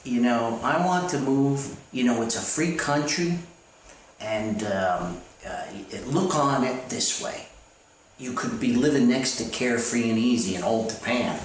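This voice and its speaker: A man's voice: mid-range voice, expressive, a little slow. A man rambling through comedic advice as a host, hesitant and folksy, reading out letters. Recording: echoey, distant mic with faint noise.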